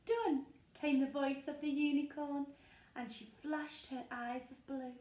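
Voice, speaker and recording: Female storyteller for young children, high, slow, sing-song rhyme; echoey, set back from the mic. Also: level tone, a little mellow. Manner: sing-song, dramatic pauses. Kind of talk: expressive rhyming picture-book read-aloud. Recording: echoey room, distant from mic.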